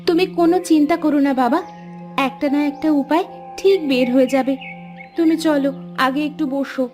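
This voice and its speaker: A caring young daughter in a cartoon, played by a woman, with a very high, girlish voice. She talks gently and soothingly to comfort her father. Soft music runs underneath. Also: normal speed, lightly acted. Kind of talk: Bengali cartoon voice acting.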